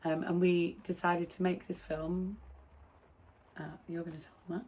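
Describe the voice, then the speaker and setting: Hoarse woman with a flat, low voice. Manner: friendly, halting with restarts. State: hoarse, losing her voice. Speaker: filmmaker. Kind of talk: casual film introduction.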